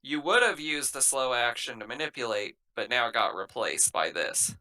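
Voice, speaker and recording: A man's voice: medium pitch, animated, normal speed, pushed and bright. A man chatting informally as a player in a tabletop roleplaying game, with some swearing. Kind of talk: casual game chat.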